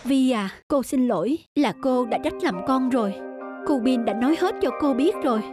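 High-voiced strict stepmother. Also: expressive, normal speed. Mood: regretful. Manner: scolding, stern. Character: strict, quick-tempered young stepmother, loving underneath. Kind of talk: children's cartoon voice acting. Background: background music.

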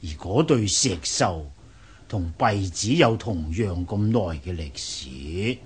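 Husky, slow-spoken old monk. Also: male voice, sits low, lively intonation, exaggerated voice. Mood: calm, courteous. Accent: Hong Kong Cantonese. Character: courteous old Buddhist monk, head of a temple.

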